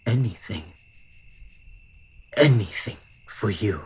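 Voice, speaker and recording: A man voicing a centuries-old male vampire in an ASMR roleplay, speaking with formal, old-fashioned courtesy in a soft, breathy voice that often drops to a whisper. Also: on the low side, animated, slow, slight background noise. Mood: pleading.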